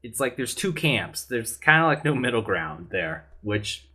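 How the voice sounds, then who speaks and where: A man's voice: medium pitch, very animated, measured pace, noisy background. A man on a gaming podcast panel, talking casually and earnestly, rambling with some restarts. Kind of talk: casual opinionated chat.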